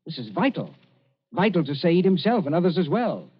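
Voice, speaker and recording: An actor playing a shrewd younger man in 1940s radio style, measured, dryly skeptical and politely insistent. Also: high voice, big pitch swings, slight background noise. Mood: urgent. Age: young adult. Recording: muffled old radio recording.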